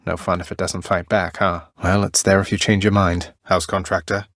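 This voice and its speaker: A man's voice: deep voice, little pitch movement, moderate pace, fairly bright tone, no room sound. A man voicing a young underworld prince in a video game, polite and casual, with a dry, understated wit. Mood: casual, inquisitive.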